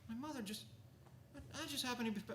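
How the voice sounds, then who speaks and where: A man's voice: very high voice, fast, a bit bright, roomy sound, a little hiss or hum. An actor playing an awkward, stubborn, flustered young man in a radio play, stammering and hesitant.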